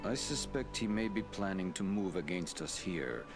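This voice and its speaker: An actor playing an ancient, dignified elf lord in a fantasy game, speaking in a grave, formal, deliberate way. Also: male voice, low-pitched, lively intonation, gravelly, music in the background. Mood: grave, warning. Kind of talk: dramatic fantasy game acting.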